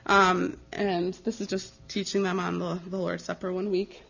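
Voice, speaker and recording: American woman, young adult, doing an informal missionary talk w slides, earnest n chatty, bit halting, audio kinda muffled lo-fi. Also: lowish voice, level tone, creaky, fairly bright tone, breathy edge. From United States. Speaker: missionary giving a ministry report. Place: live talk before an audience. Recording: dull, slightly lo-fi recording.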